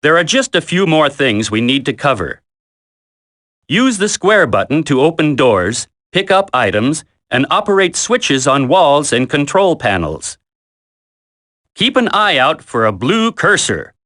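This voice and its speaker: A man's voice: fairly high pitch, very animated, unhurried, fairly bright tone, no room sound, clean studio sound. A man voicing a stern military combat instructor in a video game tutorial, giving blunt, emphatic instructions. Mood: instructive, brisk. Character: stern, gruff male military combat instructor briefing a trainee. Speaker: training instructor.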